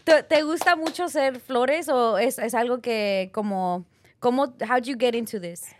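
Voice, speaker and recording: A woman's voice: a little high, normal speed, background noise. A woman of Salvadoran heritage hosting a podcast, teasing and outspoken, switching between Spanish and English. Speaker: podcast host. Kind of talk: casual bilingual podcast chat.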